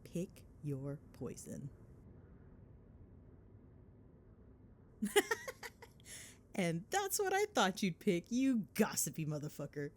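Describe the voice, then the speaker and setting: Animated, low-pitched gossipy girlfriend played by a woman. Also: slow pace, exaggerated voice. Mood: amused, gossipy. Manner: animated, dramatic, sarcastic gossipy storytelling. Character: chatty, cheeky, affectionate girlfriend gossiping while driving home. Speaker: voice actor. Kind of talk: ASMR girlfriend roleplay, casual storytelling.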